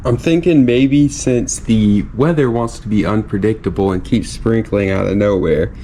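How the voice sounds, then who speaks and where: A man talks his way through a DIY wheel job in a relaxed, chatty, self-deprecating manner. His nose sounds stuffy from a cold. Faint noise sits behind him outdoors. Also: fairly low pitch, moderate pace, poor audio. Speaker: car DIY vlogger. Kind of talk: casual how-to narration. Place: outdoors while working on wheels.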